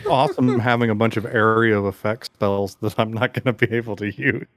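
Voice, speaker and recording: A man playing a tabletop game, making quick joking asides. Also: lowish voice, steady tone, no echo. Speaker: player.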